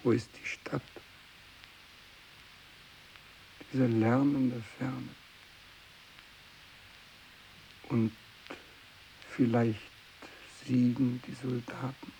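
Casting: male actor for fanatical, parched desert priest, slow and gravelly, anguished, 1960s radio drama with faint hiss. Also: mid-range voice, flat delivery, mellow, lightly acted, low-quality recording. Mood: parched, brooding. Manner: declamatory, anguished, swinging between cries and whispers. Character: fanatical, tormented renegade priest, parched and raving.

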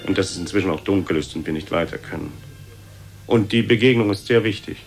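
A haughty, ageing Venetian adventurer in a radio play. The voice is very low and pompous with weariness in it. The room rings around him and soft music plays behind. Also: male voice, quick pace, roomy sound. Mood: weary, insistent. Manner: pompous, self-important. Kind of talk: radio drama acting.

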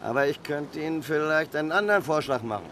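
A man's voice: on the high side, animated, fast, fairly bright tone. An actor playing a cautious, deferential male harbour boat pilot in a German audio drama, plain-spoken in manner. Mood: cautious, bargaining. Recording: faint noise, watery harbour ambience. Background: water and harbour ambience.

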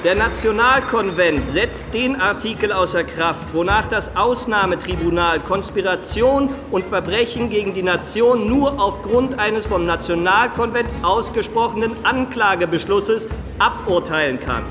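A man's voice: sits high, animated, a little slow. A man playing an official who formally proclaims revolutionary decrees in a radio play. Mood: solemn, proclaiming. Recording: long hall reverb, loud music.

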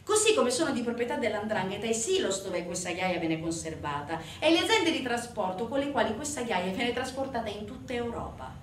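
An indignant, sardonic woman storyteller delivers a theatre monologue. Her fairly high voice swings widely and leans hard on pointed questions. It is picked up at a distance in a roomy space. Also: sing-song, fairly quick, hardly any pauses. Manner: rhetorical questions to the audience, sarcastic, emphatic. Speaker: stage actress in a solo show. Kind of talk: theatrical narrative monologue on organized crime. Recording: roomy, distant stage recording, faint noise.

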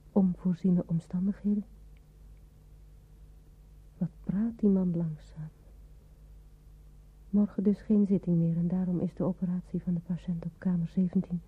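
A woman playing an anxious, timid hospital nurse in a radio drama, with hushed inner thoughts and dutiful replies, on a tinny old recording. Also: fairly low pitch, breathy edge. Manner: hushed whispered asides between dutiful phone replies.

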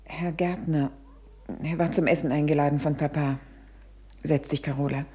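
A woman's voice: low voice, steady tone, moderate pace, warm tone, natural voice. Actress as an anxious, worn-down mother. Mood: strained, weary. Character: anxious, worn-down mother of a missing small boy. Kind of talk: German radio drama acting.

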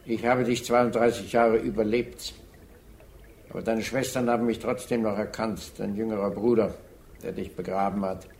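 An actor playing a grave war veteran in a radio drama, addressing a fallen comrade in a solemn, deliberate way. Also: male voice, on the low side, steady tone, a little mellow, slight background noise, lightly acted. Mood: solemn, insistent.

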